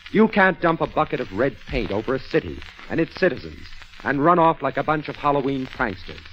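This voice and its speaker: A man reading scripted 1940s radio narration in an emphatic, rhetorical manner. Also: mid-range voice. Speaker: narrator of a political radio broadcast. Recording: muffled, noisy 1940s radio recording.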